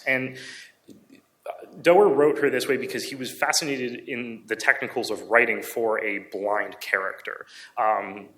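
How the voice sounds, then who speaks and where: A man giving an informative book presentation in a halting way, often correcting himself mid-sentence. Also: on the low side, sharp tone, low-quality recording.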